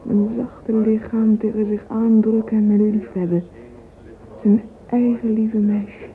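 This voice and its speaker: A woman's voice: medium pitch, little pitch movement, a little slow, natural voice. An actress playing a dreamy, yearning, romantic young woman in a radio drama monologue. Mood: dreamy longing. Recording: thin phone-like old radio recording, noisy.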